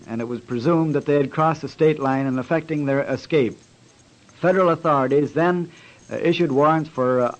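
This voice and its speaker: A man giving a stiff, formal statement as a police commissioner, with halting official phrasing. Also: fairly high pitch. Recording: dull, band-limited old radio recording.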